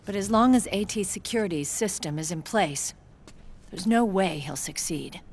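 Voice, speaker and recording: An actress voicing a composed young woman military commander in a video game cutscene, measured, serious and articulate. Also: medium pitch, expressive, slight background noise, lightly acted. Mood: composed, businesslike. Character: composed military unit commander, grown woman, wounded pride. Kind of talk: dramatic video game cutscene acting, expository. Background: music behind the voice.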